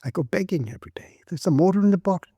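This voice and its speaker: A frightened young servant girl with a shrill voice, stammering as she pleads in a storybook reading, put on by a male narrator. The voice sits high, with a mellow tone. The recording is close and dry. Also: animated, rapid-fire, slightly rough, no room sound. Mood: frightened pleading.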